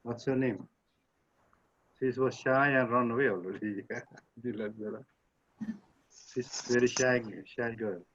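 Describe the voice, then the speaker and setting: A man's voice: mid-range voice, fairly even intonation, takes it slowly, pauses often, fairly bright tone, highs cut off, a little hiss or hum. A man with a Nepali accent chatting casually as a parent in a group discussion. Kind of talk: casual chat.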